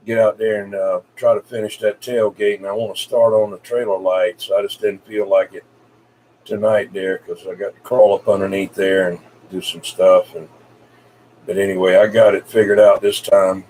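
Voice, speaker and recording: A low-voiced man from South Carolina with a Southern US accent chats casually over the radio. He rambles in a folksy way, picked up at a distance with light background noise. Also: darkish tone. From South Carolina, United States. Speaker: CB radio operator. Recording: distant mic with background hiss.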